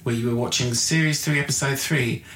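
A man's voice: medium pitch, steady tone, brisk, fairly bright tone. A man hosting a podcast, chatting casually with a guest backstage in a green room and cracking wry jokes. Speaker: podcast host. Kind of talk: casual interview chat. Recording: roomy, noisy backstage room.

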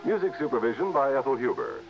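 A man's voice: mid-range voice, huge pitch swings, fast talker, gravelly, muffled recording. A man announcing commercials and credits on 1960s radio with a polished, emphatic sales delivery. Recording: band-limited old radio recording, faint music.

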